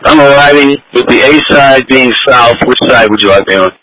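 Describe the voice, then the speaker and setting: A man's voice: medium pitch, moderate pace. A man working as a firefighter, giving terse operational messages over a two-way radio. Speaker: firefighter on fire department radio. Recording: noisy fire radio scanner feed.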